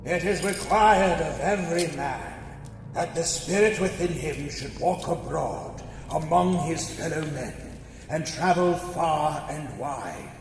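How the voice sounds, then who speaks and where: An actor playing the chained ghost of an old man, tormented and doomed, speaking in a slow, wailing, solemn way. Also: on the high side, a little hiss or hum. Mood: mournful. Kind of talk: radio play acting. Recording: echoey hall reverb with faint music.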